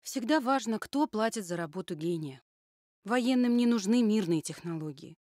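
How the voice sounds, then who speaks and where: A solemn puppet survivor , voiced by a woman, giving admonishing warnings in a level, steady voice. The sound is dry and close, with no room echo. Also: medium pitch, normal speed, close to an everyday voice. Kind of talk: puppet theatre performance.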